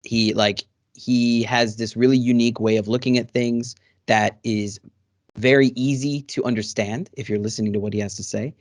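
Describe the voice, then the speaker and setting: A man's voice: medium pitch, fairly even intonation, moderate pace, no echo, studio quality. A man hosting a casual interview over a video call, friendly and rambling with many fillers. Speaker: host. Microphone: studio microphone.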